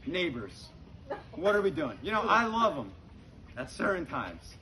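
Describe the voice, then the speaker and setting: A young man telling stand-up comedy stories to an audience in a conversational, halting way, with pauses. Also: on the high side, very animated. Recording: very noisy live comedy recording with clicks. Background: audience laughter.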